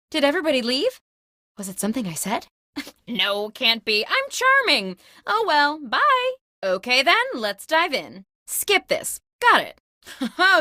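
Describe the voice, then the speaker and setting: A woman's voice: on the high side, sharp tone, dry recording, clean studio sound, full character voice. A woman playing a scatterbrained, self-absorbed office boss in a comedic video game, breezy, theatrical and bossy. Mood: miffed, exasperated, then brisk, upbeat. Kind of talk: scripted comedic video game host lines.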